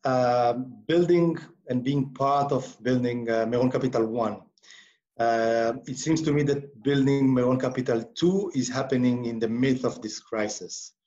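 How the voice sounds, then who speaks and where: A man's voice: mid-range voice, a little slow, warm tone, highs cut off. A man hosting a podcast interview, introducing the show and asking questions hesitantly, with many ums and restarts. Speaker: podcast host.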